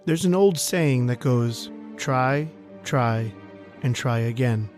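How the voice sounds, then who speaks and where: A man hosting a podcast, reading a scripted, measured introduction to an old radio horror story. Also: mid-range voice, darkish tone, faint music behind. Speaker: podcast host.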